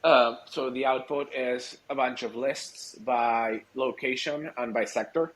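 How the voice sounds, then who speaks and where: A man's voice: medium pitch, fairly even intonation, unhurried, poor audio. A man from Argentina whose first language is Spanish, chatting casually as an interview guest and feeling for his words in an informal, hesitant way. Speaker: podcast guest, startup growth executive.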